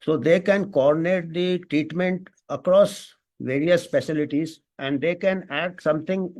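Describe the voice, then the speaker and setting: An Indian-accented man speaks as an expert on a panel, in a somewhat high-pitched voice. He thinks aloud, halting and restarting his phrases as he builds his points. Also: steady tone, a little mellow. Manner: halting, thinking aloud with frequent false starts. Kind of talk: extemporaneous panel remarks on a professional subject.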